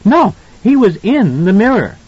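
A man voicing a frightened, confused, earnest little boy in an audiobook, with a halting, pleading delivery. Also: high voice, very animated, a little mellow, breathy edge, no room sound, voice pushed up, exaggerated voice. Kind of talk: audiobook character voice. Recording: slightly dull, lo-fi audio.

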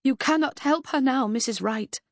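A woman's voice: sits high, normal speed, no echo, studio quality, pitched above the performer's own voice. A woman voicing an earnest, composed professional woman in an audiobook, apologetic, placating and insistent. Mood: apologetic, desperate. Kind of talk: audiobook character acting.

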